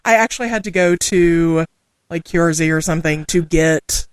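A woman from Southwest Missouri with a local accent, co-hosting a podcast, chatting casually, laughing often and rushing through lists of names. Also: on the low side, clean tone, no echo. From Southwest Missouri, USA. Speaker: podcast co-host. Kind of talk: casual chat and reading listener names.

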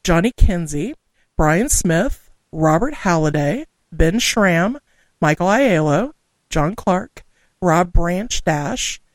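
A woman from Southwest Missouri with a local accent, co-hosting a podcast, chatting casually, laughing often and rushing through lists of names. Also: on the low side, lively intonation, dry recording. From Southwest Missouri, USA. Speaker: podcast co-host. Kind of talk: casual chat and reading listener names.

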